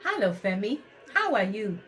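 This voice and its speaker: A woman's voice: mid-range voice, big pitch swings, normal speed, some chatter behind, natural voice. A woman voicing a cautious, worried female squirrel in a children's storybook, in a fretful sing-song. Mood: friendly.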